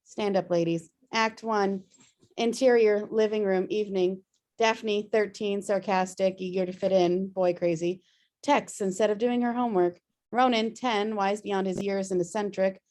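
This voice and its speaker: A woman's voice: medium pitch, steady tone, normal speed, a little mellow. A woman narrating stage directions at a script table read. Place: remote video call.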